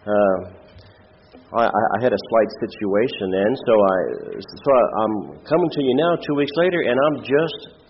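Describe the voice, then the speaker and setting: A man with a head cold and a nasal, congested voice gives an informal sermon as a lay preacher, in a halting, plain-spoken way. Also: mid-range voice, highs cut off. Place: church, speaking before a congregation.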